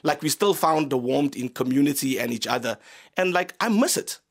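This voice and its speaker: South african guy, comedian on the radio, bit of fry in the voice, laughs a lot. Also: mid-range voice, moderate pace. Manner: open, animated storytelling, laughs often. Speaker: comedian guest. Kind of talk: casual radio interview. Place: radio studio.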